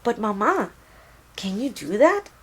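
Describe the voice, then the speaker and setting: Woman narrator as young schoolboy, affectionate, inquisitive, children's book, husky. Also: mid-range voice, sing-song, measured pace, voice pushed up. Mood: puzzled, curious. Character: affectionate, curious young schoolboy, eager to help his mother.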